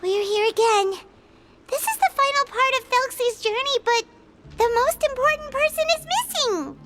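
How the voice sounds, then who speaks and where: A woman voicing a tiny, childlike fairy companion in a video game, earnest and theatrical with a squeaky voice. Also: moderate pace, fairly bright tone. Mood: wistful, determined. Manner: refers to self by name, theatrical. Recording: music behind the voice.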